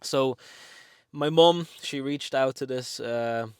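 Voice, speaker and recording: A man's voice: mid-range voice, takes it slowly, a little mellow, clear, resonant tone, dry recording, clean studio sound. A man in his twenties from Lithuania, speaking English with a Lithuanian accent, telling a personal story in a chatty, frank way with many fillers. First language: Lithuanian and Russian. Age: 20s. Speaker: vlogger. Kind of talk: casual storytelling to camera.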